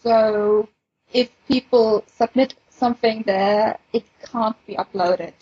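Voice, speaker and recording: A woman's voice: on the high side, fairly even intonation, slow pace, warm tone, slightly rough, highs cut off. A woman co-hosting a podcast with casual announcements and chat. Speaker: podcast host.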